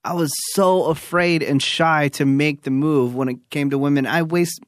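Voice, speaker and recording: A man's voice: a little high, fairly even intonation, normal speed, a little hiss or hum. A man in his thirties co-hosting a podcast, talking in a laid-back, casual way and saying "you know" often. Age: 30s. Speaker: podcast co-host. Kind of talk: casual advice chat.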